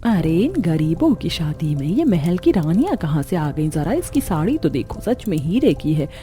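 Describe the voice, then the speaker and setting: Nosy, wonderstruck lady guest for a cartoon, played by a woman, mellow voice over soft music. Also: sits low, big pitch swings, normal speed. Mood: astonished. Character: gossipy, amazed female wedding guest.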